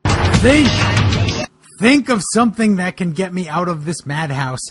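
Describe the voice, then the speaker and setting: Man acting out a pleading, high-voiced wrestler character. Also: big pitch swings, unhurried, loud music behind the voice. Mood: anxious. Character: pleading male wrestling character Boogeyman, desperately reasoning. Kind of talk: amateur dramatic reading.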